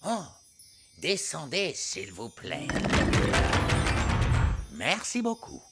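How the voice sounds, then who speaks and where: Brief: imperious, flustered cartoon queen, low voice, slow delivery, loud music underneath. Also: wildly swooping pitch, full character voice. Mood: puzzled, commanding. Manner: stately, imperious. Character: regal, flustered adult queen, grand and out of her depth. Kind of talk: animated cartoon dub.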